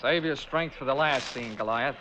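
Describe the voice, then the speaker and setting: An actor playing a wry, hard-boiled insurance investigator in a 1940s radio detective drama, crisp and sardonic. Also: male voice, medium pitch, normal speed, noisy background, natural voice. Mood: cool, sardonic. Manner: deadpan, sardonic, crisp wisecracks. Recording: dull-sounding 1940s radio recording.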